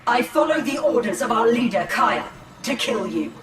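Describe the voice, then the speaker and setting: An actress playing a proud, guarded alien seer and assassin in a game, speaking in a measured, formal, cool way. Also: female voice, on the high side, animated, over a noisy crowd, lightly acted. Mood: defiant. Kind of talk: dramatic game cinematic acting. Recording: echoey hall, music behind.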